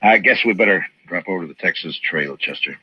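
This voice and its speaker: An actor playing a weary, stoic frontier marshal in an old radio western, speaking in terse, measured lines. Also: male voice, low-pitched, animated, husky, no room sound. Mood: resigned. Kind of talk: 1950s radio drama acting. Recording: muffled, hissy old radio broadcast.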